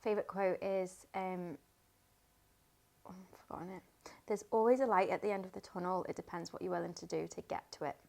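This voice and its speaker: A woman in her thirties co-hosting a podcast, chatting casually as an animated storyteller who laughs often. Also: on the low side, a little fast, vocal fry. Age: 30s. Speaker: podcast co-host. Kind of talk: casual chat.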